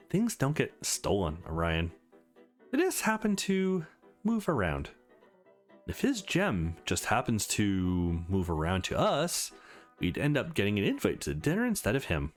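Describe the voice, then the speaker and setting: A man performs a young woman hacker from a visual novel game. She is nerdy and eager, with a playful, cocky edge. Her delivery swings widely in pitch over faint background music. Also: huge pitch swings, a little slow. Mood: eager, confiding.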